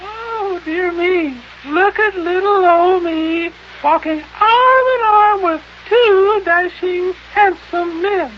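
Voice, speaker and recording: A man's voice: very high-pitched, slow pace, few pauses. A man voicing a gushing, lovesick widow lady with affected baby talk in a 1950s children's radio serial. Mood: coquettish. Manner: cooing, affected, baby-talking. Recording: dull, noisy old radio recording.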